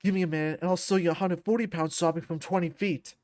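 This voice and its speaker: A woman voicing a huge male beast fighter, gruff, proud and grumbling, in a visual novel. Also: lowish voice, fairly even intonation, fast talker, a little mellow, dry recording, clean studio sound, voice pushed down, close to an everyday voice. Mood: grumbling, sardonic. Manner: gruff, defiant, blustering.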